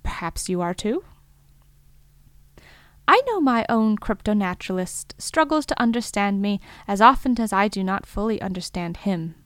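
A woman acting a thoughtful field reporter in an audio fiction monologue, speaking in a measured, lyrical, wondering way. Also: medium pitch, lively intonation, clean tone, no echo, natural voice. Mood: gently hopeful. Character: thoughtful, wondering female narrator filing a field report on strange creatures. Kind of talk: scripted reflective first-person audio fiction monologue.

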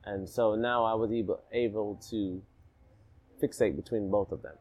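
Low-voiced man telling his story. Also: normal speed. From Alabama, raised partly in Jamaica. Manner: reflective, animated, rhythmic repetition. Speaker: guest. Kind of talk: casual storytelling in a podcast interview.

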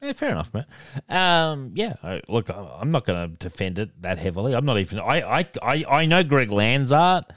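A man's voice: mid-range voice, very animated, normal speed, a bit bright, dry recording. A man with an openly nasal voice reads listener emails aloud and chuckles often. The sound is dry and close, with no room around it. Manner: laughs often, chuckling between remarks. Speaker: podcast co-host reading listener emails. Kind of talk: casual chat.

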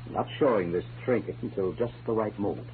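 A man's voice: on the low side, fast, phone-quality sound, close to an everyday voice. An actor playing a smug, scheming, taunting male blackmailer in a 1940s radio drama. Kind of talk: 1940s radio noir acting. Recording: thin, noisy old radio recording.

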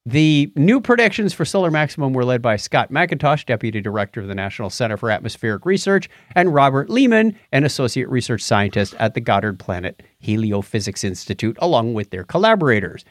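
A man's voice: medium pitch, sing-song, brisk, hardly any pauses, no room sound, clean studio sound. A man co-hosting a science news podcast, chatting casually and cracking jokes as he comments on stories. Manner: jokey, wisecracking.

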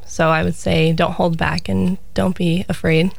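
Woman in her twenties, gravelly voice, upbeat interview guest. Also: fairly low pitch, level tone, measured pace. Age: 20s. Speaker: pilot, flight center co-owner. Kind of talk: interview answers.

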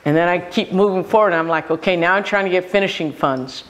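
A man about sixty from Hawaii talks as an interview guest about his film work. He tells stories animatedly, playing out quoted exchanges. His voice sits a little high for a man. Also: quick pace, a bit bright. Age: around 60. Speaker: filmmaker guest. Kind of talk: interview answers.

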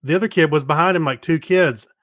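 A man's voice: a little high, quick pace, no echo, studio quality. A middle-aged man co-hosting a podcast, chatting casually and telling personal anecdotes. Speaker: podcast co-host.

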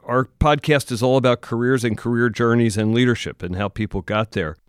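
Smooth-voiced male podcast host. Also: medium pitch, steady tone, no echo, studio quality. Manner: measured, thoughtful, asks at length. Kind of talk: interview questions.

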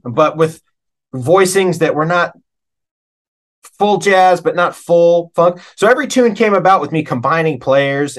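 A middle-aged American man talking casually as an interview guest, telling stories like a working musician, with pauses and emphasis on key words. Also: medium pitch, fairly bright tone. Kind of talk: casual conversational storytelling.

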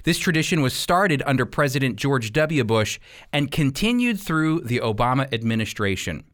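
A man's voice: mid-range voice, big pitch swings, normal speed, fairly bright tone. An American man teaching the Bible as a radio host, speaking earnestly and emphatically in a conversational preaching style. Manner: earnest, emphatic, rhetorical questions.

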